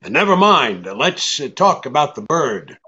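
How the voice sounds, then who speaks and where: An actor playing a cynical, tough American private detective in a 1940s-style radio drama, with a sardonic, clipped manner. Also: male voice, medium pitch, big pitch swings, moderate pace, bright, forceful tone, dull audio. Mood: unimpressed. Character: cynical, wisecracking hard-boiled male private detective.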